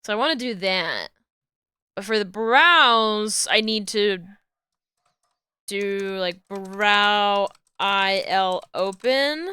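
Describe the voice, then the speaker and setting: Bright-voiced woman streaming her work. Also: mid-range voice, lively intonation, drawn-out words, clear, resonant tone, dry recording, studio quality. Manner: thinks aloud, explains steps to chat. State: losing her voice. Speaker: streamer rigging a model. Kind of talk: casual live-stream talk while working.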